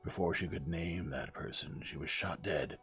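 A man's voice: deep voice, normal speed, a bit bright. A man voicing a terse, commanding investigator in an audiobook mystery, clipped and no-nonsense. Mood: grim. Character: terse, commanding male investigator grilling a suspect.

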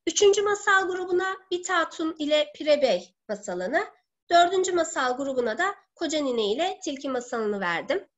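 A woman in her thirties, a teacher presenting in an online seminar from home, explaining step by step in a halting way. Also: high-pitched, big pitch swings, muffled recording. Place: living room at home. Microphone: laptop or webcam video call.

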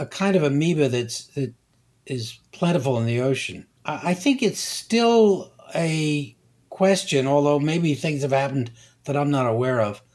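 An older man, a scientist guest, explaining slowly and deliberately with many hesitations. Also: on the high side, darkish tone. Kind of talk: conversational science interview.

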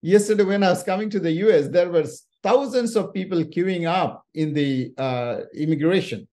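Male summit moderator with a fairly high voice, emphatic and animated, on a video call. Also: normal speed. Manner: animated, emphatic, sometimes shouting. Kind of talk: casual chat introducing a speaker.

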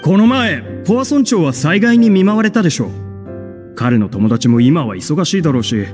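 A man's voice: on the high side, animated, normal speed, darkish tone, close to an everyday voice. An actor playing a mild-mannered, sociable young man of a theatre troupe in a video game, polite and coaxing. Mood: polite, thoughtful. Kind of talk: Japanese video game voice acting. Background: faint music.

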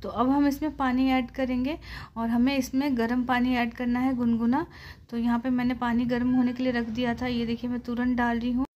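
An Indian woman narrates a home cooking tutorial step by step. Her voice sits fairly high and stays very even, with little melodic movement. There is noticeable background noise in the recording. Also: brisk. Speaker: home cook presenting a recipe.

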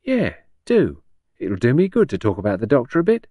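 A man's voice: medium pitch, wildly swooping pitch, brisk, mellow, dry recording, voice pushed up. A man voicing a shrewd, blunt old working-class woman patient in an audiobook, chatty and colloquial with folksy endearments. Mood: cheeky, resigned. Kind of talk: audiobook character dialogue.

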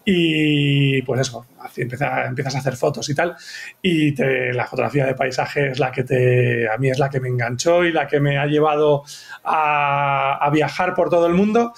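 A man's voice: medium pitch, moderate pace, vocal fry. A man in his forties from Madrid, with a Madrid Spanish accent, chatting casually as a guest on a video call, rambling and laughing often. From Madrid, Spain. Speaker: guest photographer. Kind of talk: casual conversation. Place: home room. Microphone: video call, webcam.

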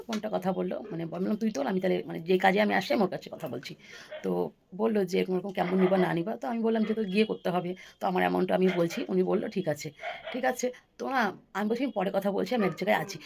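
A woman's voice: medium pitch, vocal fry, background noise. A woman tells a story casually to viewers as a vlogger, rambling and repeating phrases for emphasis.

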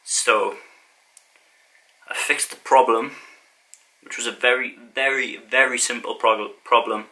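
A man's voice: medium pitch, steady tone, slow pace, pauses often, pushed and bright. A young man chatting casually to camera in a small home garage gym, rambling and self-deprecating, recorded on the camera's own mic. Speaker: fitness vlogger. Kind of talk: casual vlog talk to camera. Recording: noisy home camera audio.

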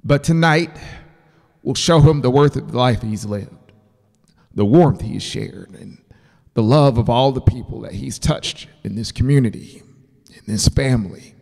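A man voicing a folksy, emotional uncle in an audiobook, making a halting, heartfelt speech to a party. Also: medium pitch, vocal fry, darkish tone, long reverb tail, voice pushed up. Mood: heartfelt, rousing. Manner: halting, heartfelt public address. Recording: music playing behind the voice.

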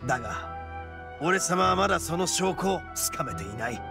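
An actor playing a brash, proud young male oni gang boss in a video game, with rough, cocky, emphatic speech. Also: a little high, very animated, normal speed, music in the background. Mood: serious, defiant. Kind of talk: dramatic video game voice acting.

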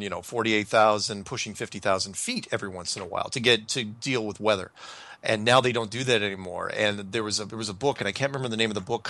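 Man with a bright, dry voice, chatting casually. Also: lowish voice, no echo. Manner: rambling, many restarts and fillers. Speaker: podcast host. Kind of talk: casual call-in chat.

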